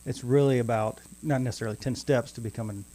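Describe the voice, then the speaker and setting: A man's voice: medium pitch, steady tone, fast, warm tone. A man co-hosting a podcast, chatting casually with dry joking asides. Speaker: podcast co-host. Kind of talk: casual chat.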